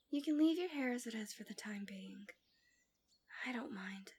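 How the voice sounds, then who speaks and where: A woman voicing a gentle, coaxing, subtly manipulative fantasy villain in an intimate ASMR roleplay, soft and breathy, often near a whisper, close to the mic. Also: a little high. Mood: teasing, reassuring. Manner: soothing, doting, teasing.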